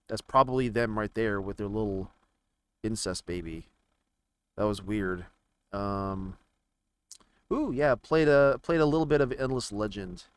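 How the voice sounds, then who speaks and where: A man giving casual, rambling commentary on video games, haltingly thinking out loud as he browses. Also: mid-range voice, clean tone, dry recording. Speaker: gaming video creator.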